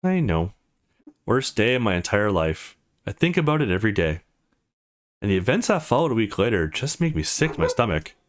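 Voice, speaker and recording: A man's voice: lowish voice, sing-song, brisk. A man voicing a concerned, grieving visual novel character in an earnest, sorrowful manner.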